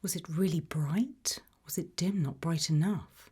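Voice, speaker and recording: A British woman whispering in a breathy voice, asking gentle, measured questions as an interviewer in an ASMR roleplay. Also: fairly low pitch.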